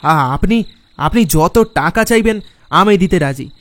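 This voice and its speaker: A man playing an anxious traveller who tells a horror story in the first person, dramatic and expressive. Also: high voice, a little slow. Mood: reflective, tense. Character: anxious male traveller recounting his night crossing.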